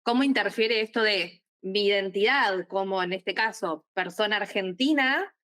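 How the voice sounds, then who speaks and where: A woman hosts a podcast conversation. She asks her questions in an engaged, curious way and affirms her guest's answers. Her pitch sits in an ordinary middle range. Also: moderate pace, a bit bright. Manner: engaged, affirming, laughs easily. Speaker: podcast host. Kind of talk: interview questions.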